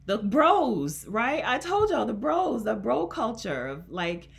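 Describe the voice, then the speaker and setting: A woman's voice: on the high side, very animated, slow pace, gravelly. A woman from Delaware, a coach hosting a casual livestream and talking to her chat in an emphatic, chatty way, laughing often. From Delaware, USA. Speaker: coach and host.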